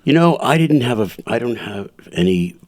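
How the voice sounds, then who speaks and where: A middle-aged man from New Jersey, a podcast guest, talking calmly and haltingly about his family. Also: mid-range voice. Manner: calm, halting, with pauses and fillers. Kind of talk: interview conversation.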